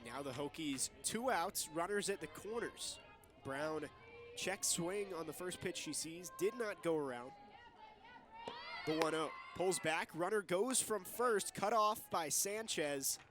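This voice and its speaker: A young man doing live softball play-by-play as the lead commentator, in clipped, present-tense calls. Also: fairly high pitch, measured pace, music in the background, a crowd in the background. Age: young adult.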